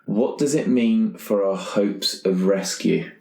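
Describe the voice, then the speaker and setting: A man with a deep voice takes part in a tabletop roleplaying game as a player. He thinks aloud casually, halting and rephrasing as he works out his character. The recording has a strong room echo. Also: gravelly, dark tone. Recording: echoey room.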